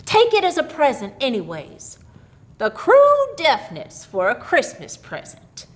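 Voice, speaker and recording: Sly old countrywoman, played by a woman narrator: high, slow, coaxing, conspiratorial storybook character with knowing humour. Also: wildly swooping pitch, heavily acted. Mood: sly, amused.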